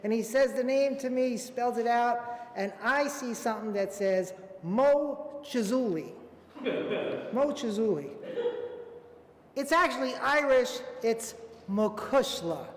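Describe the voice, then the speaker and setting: A man from Brooklyn hosting a theater storytelling show, relaxed and joking, with filler ums. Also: extremely high pitch, takes it slowly. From Brooklyn, New York. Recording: echoey hall, faint ambience.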